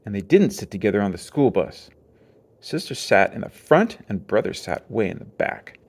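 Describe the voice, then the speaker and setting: Animated man reading a children's story. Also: lowish voice, normal speed, breathy edge. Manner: expressive storytelling. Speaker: storybook reader. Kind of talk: children's read-aloud narration.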